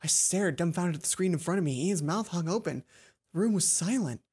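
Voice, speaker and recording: A woman reading a visual novel aloud as a let's play host, expressive and given to puzzled asides. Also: on the low side, a little fast, husky. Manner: expressive storytelling, self-questioning asides. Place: indoor gaming commentary recording with loud game music behind.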